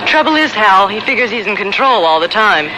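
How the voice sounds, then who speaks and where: A woman's voice: mid-range voice, sing-song, fairly quick, background noise, natural voice. An actress playing a spirited, independent woman balloon pilot and aunt, narrating and acting in a radio drama, teasing and firm. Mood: matter-of-fact. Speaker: narrator and lead character.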